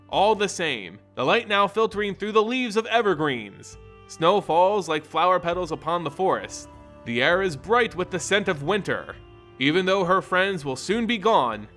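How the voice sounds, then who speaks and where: Bright, animated male narrator over faint music. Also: high voice. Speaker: host of a fantasy lore channel. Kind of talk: scripted narration and commentary.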